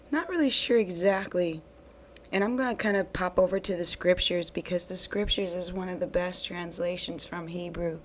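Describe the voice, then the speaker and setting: A woman hosting a bible reading channel, talking earnestly and encouragingly to her viewers while her voice is hoarse from recent illness. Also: lowish voice, a little hiss or hum. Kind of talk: casual devotional talk to camera.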